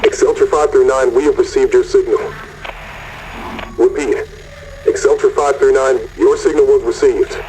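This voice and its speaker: An actor playing a weary, candid corporate mission controller, husky, in a radio message that mixes official briefing with wry confiding, in a sci-fi audio drama. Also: male voice, mid-range voice, fairly even intonation, normal speed, long pauses, darkish tone, slight background noise, low-quality recording. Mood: formal.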